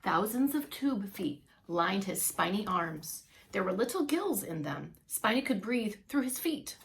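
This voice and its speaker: A woman reading a story aloud to young children as a preschool teacher, expressive, with playful asides. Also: mid-range voice, a little slow, a little hiss or hum. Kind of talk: animated read-along children's storytelling.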